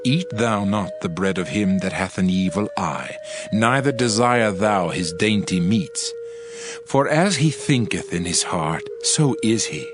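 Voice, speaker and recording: A man's voice: lowish voice, lively intonation, unhurried. A man giving a dramatized Bible reading in a solemn, emphatic, oratorical manner. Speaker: scripture reader. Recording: music behind the voice. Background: music.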